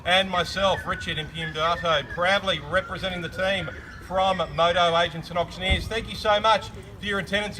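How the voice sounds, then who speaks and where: An Australian man working as an auctioneer, delivering a loud, projecting, formal sales spiel outdoors on a suburban street. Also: on the high side, a little hiss or hum.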